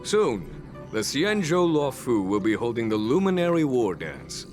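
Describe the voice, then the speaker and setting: A man's voice: mid-range voice, very animated, measured pace, a little mellow. An actor playing a dignified male general who speaks in a formal, stately way in a game. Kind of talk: game voice acting. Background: game music.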